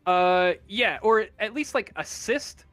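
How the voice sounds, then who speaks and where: Hesitant, slow-paced man running a tabletop game. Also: high-pitched, expressive, fairly bright tone, soft background music. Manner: halting, hesitant, thinking aloud. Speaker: game master. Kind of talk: improvised narration of a tabletop role-playing game.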